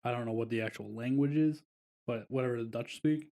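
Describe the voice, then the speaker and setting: A man's voice: mid-range voice, fairly quick, warm tone. A man co-hosting a casual podcast, telling a long, rambling vacation story with plenty of fillers. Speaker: podcast co-host.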